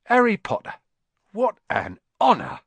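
A man's voice: fairly high pitch, huge pitch swings, moderate pace, a bit bright, slightly rough, no echo, voice pushed up, full character voice. A man voicing an old male barman in a storybook, reverent, tremulous and effusively welcoming. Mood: honoured, welcoming. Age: older.

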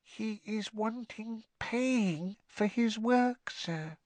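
A man voicing a tiny, timid female house elf from a storybook, with a quivering squeak and meek, servile broken grammar. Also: very slow, a little mellow, no echo. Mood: hushed, horrified.

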